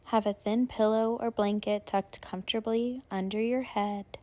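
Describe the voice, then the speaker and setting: A woman instructor leads a guided meditation for deep rest. Her voice sits at an average pitch for a woman. She speaks in a soothing, unhurried way and leaves long pauses between instructions. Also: fairly even intonation, darkish tone. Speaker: yoga nidra instructor.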